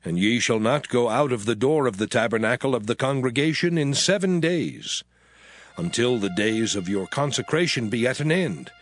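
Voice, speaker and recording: A man's voice: mid-range voice, natural voice. A man playing a solemn, commanding prophet who declaims instructions to priests in a dramatized scripture reading.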